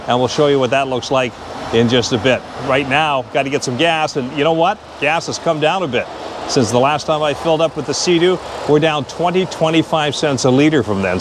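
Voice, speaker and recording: Chatty 50s guy vlogging his trip outside, easygoing, lapel mic, bit of outdoor hum. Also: fairly high pitch. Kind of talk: casual outdoor vlog narration. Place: outdoors at a boat launch and gas station. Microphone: lapel mic clipped to shirt.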